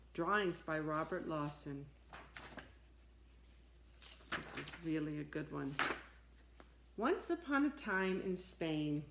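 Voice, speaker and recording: A middle-aged woman reading picture books aloud to children with expressive, deliberate phrasing and chatty asides. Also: lowish voice, background noise. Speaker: storytime reader for children. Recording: echoey, roomy sound.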